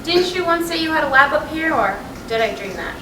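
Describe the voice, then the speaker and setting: Woman acting a high-voiced, restless wife, echoey. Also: lively intonation, a little fast. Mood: needling, curious. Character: restless, fed-up, blunt middle-aged wife. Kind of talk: staged reading of a stage play. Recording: echoey room from far off, noisy.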